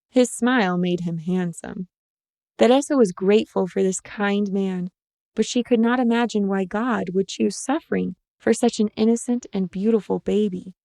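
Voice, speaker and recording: A woman's voice: mid-range voice, creaky, warm tone, no room sound, clean studio sound. A woman reading a short story as narrator, in scripted literary narration.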